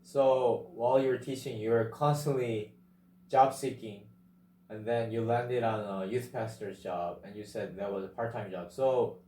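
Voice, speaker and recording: Guy asking interview questions, lowish voice, echoey room w/ a little noise. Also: fairly even intonation, unhurried, warm tone. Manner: curious, sums up the answers. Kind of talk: casual interview. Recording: roomy with faint background noise.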